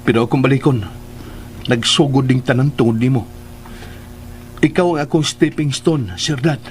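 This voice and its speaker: An actor plays a grown man in a Filipino radio drama, earnest and full of gratitude. His mid-range voice has a Cebuano accent. He delivers his lines in a solemn, measured, emotional way. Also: medium pitch, lightly acted. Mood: earnest, insistent.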